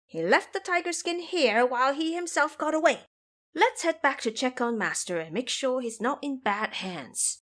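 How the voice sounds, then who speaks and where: A bold monkey warrior from an audio drama, acted out by a woman. He is combative and commanding, speaking in exclamations with an animated, leaping pitch. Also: normal speed. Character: Sun Xingzhe, bold combative male monkey disciple.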